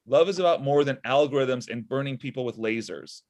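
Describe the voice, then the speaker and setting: A man's voice: mid-range voice, a little fast, darkish tone, lightly acted. A man in his thirties playing a sensible, exasperated boss trying to manage a robot in a comedy table read. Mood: weary, earnest. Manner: earnest, exasperated. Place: indoor room at home, video call. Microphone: video call.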